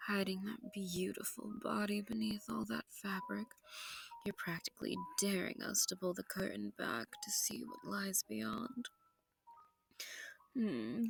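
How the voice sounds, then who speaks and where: A woman's voice: mid-range voice, creaky, slightly rough, heavily acted. A woman playing a seductive, sadistic vampire noble in an intimate audio roleplay, genteel and teasing with menace, often sinking into whispers. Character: seductive, sadistic, possessive female vampire noble hosting her foe.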